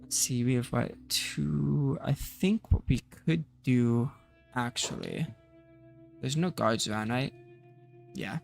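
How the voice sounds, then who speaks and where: Tired gravelly male game streamer. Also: medium pitch, very animated, slow pace, faint music behind. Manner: rambling, thinking aloud with fillers. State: tired, slightly rough voice. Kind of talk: casual let's-play commentary.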